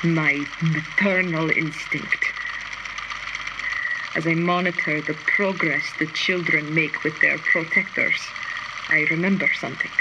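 Brooding female scientist, tormented and bitter, dramatic audio-diary monologue under very loud noise. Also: low voice, steady tone. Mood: brooding, haunted. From Belarus. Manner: brooding, deliberate. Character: bitter, tormented woman scientist, haunted former prison camp collaborator. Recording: very noisy, radio-like audio diary.

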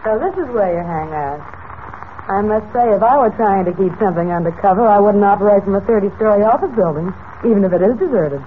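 A woman's voice: mid-range voice, lively intonation, fast talker, slight background noise, natural voice. Woman playing a brusque young radio-serial crook, tinny recording. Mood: wary, impatient. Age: young adult. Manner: brusque, hard-boiled. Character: tough, impatient, suspicious young female crook. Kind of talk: 1940s radio serial acting.

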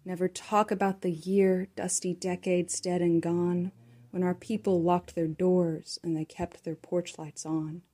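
A woman from Texas reads her own rhyming poem aloud, keeping it hushed and conspiratorial and now and then slipping into a whisper. Her voice is low and mellow. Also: flat delivery, measured pace. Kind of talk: rhythmic poetry reading.

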